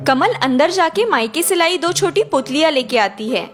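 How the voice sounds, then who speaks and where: A woman's voice: high voice, expressive, moderate pace, faint music behind. A woman reading scripted narration for a children's moral story.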